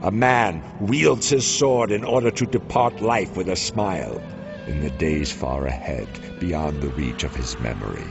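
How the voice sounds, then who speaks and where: A brooding dark fantasy narrator speaks extremely low, with gravel in every phrase. He is solemn, slow and portentous, reading scripted narration over clear music in slightly muffled audio. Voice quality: deep, gravelly.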